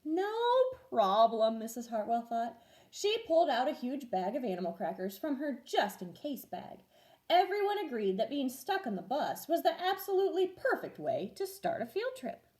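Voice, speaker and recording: A woman reading a children's storybook aloud as a teacher would, expressive and clearly paced for young listeners. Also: high voice, normal speed. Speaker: first grade teacher reading stories aloud.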